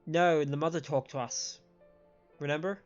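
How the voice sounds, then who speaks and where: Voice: mid-range voice, fairly even intonation, normal speed. A game streamer chats casually and analytically during play. The delivery is explanatory and conversational. The mic sounds a little lo-fi, with music faintly underneath. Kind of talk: casual live game commentary.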